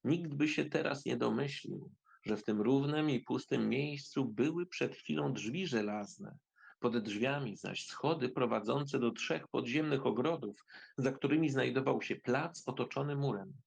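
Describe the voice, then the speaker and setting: A man's voice: medium pitch, unhurried, gravelly, breathy edge. A man narrating a fairy tale from a script in a dramatic storytelling style.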